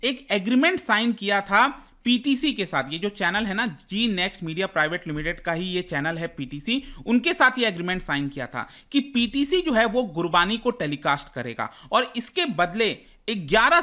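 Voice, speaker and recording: High, bright-voiced man explaining. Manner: didactic, conversational, often restating points and mixing in English terms. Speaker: teacher presenting current affairs for exam aspirants. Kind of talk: explanatory lecture with rhetorical questions.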